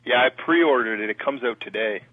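A man with a Canadian accent calls in to a sports radio show, pausing often with "uh" and "um". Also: mid-range voice. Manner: hesitant, fills pauses with "uh" and "um". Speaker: radio caller. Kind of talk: phone-in call to a sports talk show. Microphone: telephone. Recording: tinny phone line.

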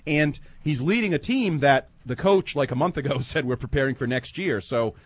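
Sports radio guy, pitch all over the place, casual banter in the studio. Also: normal speed, slightly rough. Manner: animated, drops low and quiet when musing.

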